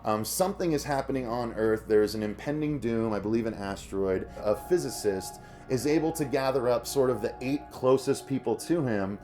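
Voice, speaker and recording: Male co-host for an informal review show, medium pitch, chatty delivery to the audience, music underneath. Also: moderate pace, hardly any pauses, mellow. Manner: conversational, addresses audience as "you guys". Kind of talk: casual review chat to viewers.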